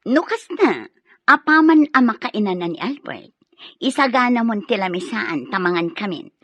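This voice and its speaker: A sharp, bossy lady of the house, her voice swinging high and low as she scolds. Her tone is a little husky and dry, and the recording sounds dull and lo-fi. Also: expressive, moderate pace, dry recording. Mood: commanding. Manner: scolding, cutting, commanding. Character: stern wife of the house, scornful, bossy. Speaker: actress in an audio drama. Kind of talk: radio drama acting.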